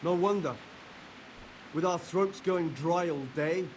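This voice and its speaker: An actor playing a jovial, sly older medieval monk in a video game, with a hearty, coaxing manner. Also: male voice, sits high, takes it slowly, slight background noise, rough recording. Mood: jovial, teasing. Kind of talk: video game cutscene acting.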